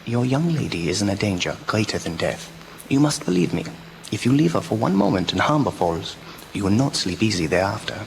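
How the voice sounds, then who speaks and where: An actor playing a grave, urgent old professor in a radio drama, speaking emphatically in halting phrases. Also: male voice, lowish voice, big pitch swings, gravelly. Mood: grave, ominous. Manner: halting foreign syntax, emphatic. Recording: noisy amateur local radio recording.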